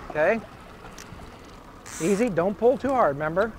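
A man working as a fishing guide, calmly and patiently talking someone through landing a fish, recorded outdoors on an open river boat. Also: sits high, moderate pace. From Oregon, United States. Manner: calm, patient instructions. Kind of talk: coaching on a boat. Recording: outdoor noise from boat and water.

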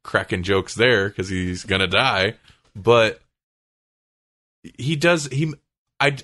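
A man's voice: fairly low pitch, lively intonation, slow pace, a bit bright. A man podcast co-host chatting casually about movies and comics.

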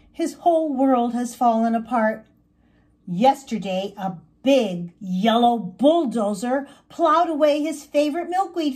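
A woman voicing a young male monarch butterfly, an earnest and caring friend, in an expressive storybook manner. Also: fairly high pitch.

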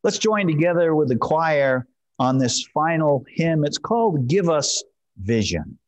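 A man in his fifties preaching informally as a pastor in a measured, gently encouraging way. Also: a little high, sing-song. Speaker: pastor leading a church service. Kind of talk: informal sermon and prayer. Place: church sanctuary, at a wooden pulpit.

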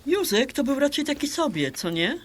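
A woman's voice: sits high, sing-song, moderate pace, slight background noise. An actress in a radio drama playing a brash, flirtatious Polish woman around sixty who banters and peppers her Polish with English words. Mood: cheeky.

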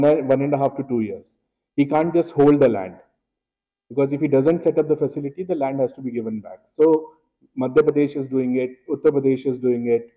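A middle-aged man with an Indian English accent, giving a keynote speech at a podium in a large wood-paneled hall, measured and full of filler "uh"s. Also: medium pitch, lo-fi sound. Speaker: keynote speaker. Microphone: podium microphone.

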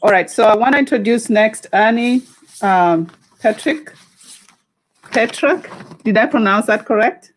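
A woman moderating a video-call conference, introducing the next speaker in an informal way with pauses. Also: on the high side, slight background noise.

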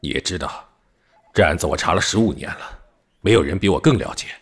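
A man playing a serious, dogged middle-aged police detective in an audio drama, speaking in a measured, firm way. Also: sits low, expressive, husky, full character voice. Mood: weary, resolute. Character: dogged veteran police detective leading a murder case. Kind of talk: Chinese audio drama acting.

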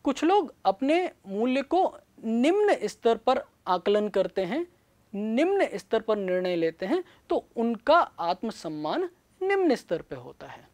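A middle-aged man giving a scripted classroom lecture in a studio on a lapel mic, measured and explanatory. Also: high-pitched. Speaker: teacher. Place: studio with backdrop.

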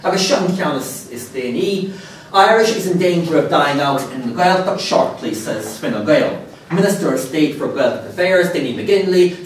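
A man in his thirties giving a conference keynote at a podium, reading quotations aloud with dry asides in an animated delivery. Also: fairly high pitch, a little hiss or hum. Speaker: keynote speaker. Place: lecture hall, speaking at a podium. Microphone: lapel mic. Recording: very echoey lecture hall, slightly distant.

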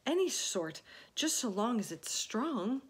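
A woman's voice: a little high, sing-song, slow, breathy edge, pitched above the performer's own voice, heavily acted. A woman voicing a thoughtful, hesitant young boy. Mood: apologetic. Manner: hesitant, careful.

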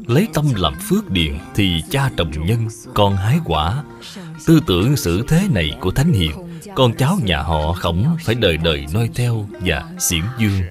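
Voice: low-pitched, big pitch swings, normal speed, over music. A performer voicing a dignified, wise elderly grandmother in a cartoon, solemn and moralizing. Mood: solemn teaching. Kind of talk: cartoon dub acting.

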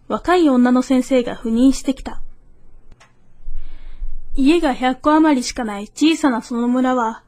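A woman's voice: high-pitched, darkish tone. A woman reader giving a scripted reading of literary prose.

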